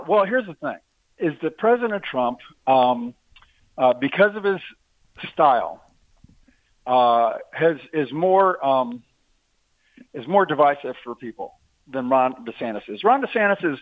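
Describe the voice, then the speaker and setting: A man's voice: a little high, big pitch swings, a little slow, no echo. A man on a phone line, a guest arguing politics in a casual talk-show chat and leaning on sports comparisons. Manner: talkative, uses sports analogies.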